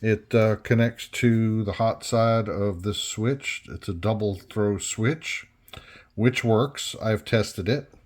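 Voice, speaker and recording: A man giving an unscripted workbench walkthrough as a hobbyist restorer, halting and thinking aloud as he goes. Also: lowish voice. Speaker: hobbyist restorer presenting a repair.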